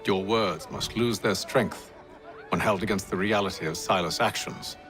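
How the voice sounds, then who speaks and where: An actor playing a composed, principled gentleman leader in a video game, speaking formally with measured, deliberate phrasing. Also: low-pitched, soft background music. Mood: measured, resolute. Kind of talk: video game cutscene acting.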